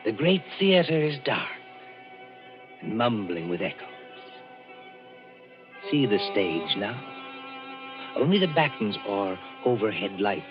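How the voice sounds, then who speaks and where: A man narrates an old radio drama in a measured, ominous way. His voice sits somewhat low. Clear music plays under the dull, muffled broadcast.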